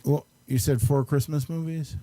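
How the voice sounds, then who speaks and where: A man's voice: a little high, steady tone, moderate pace, dark tone. A man talking casually as an interviewer, chatting and putting questions to a guest.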